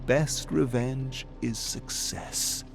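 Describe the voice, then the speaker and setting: A man acts out a supportive mother in a narrated tale. She is firm and encouraging, weighing each phrase with emphasis. Music sits clearly behind the slightly gravelly voice. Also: mid-range voice, steady tone, extremely slow, pitched above the performer's own voice. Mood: firm, consoling. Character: steady, encouraging mother giving firm advice.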